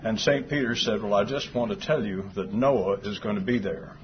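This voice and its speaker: Soft-voiced older man, low, lo-fi recording. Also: normal speed. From Fort Worth, Texas. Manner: dry humor, hesitant with fillers. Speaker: guest speaker. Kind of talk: after-lunch talk. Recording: dull lo-fi sound with clear background noise.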